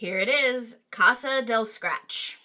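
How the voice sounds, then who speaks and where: A woman's voice: on the high side, slow, over loud music. A woman voicing a brash, sarcastic young unicorn party girl in a fan audio drama, slangy and cheeky. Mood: dry.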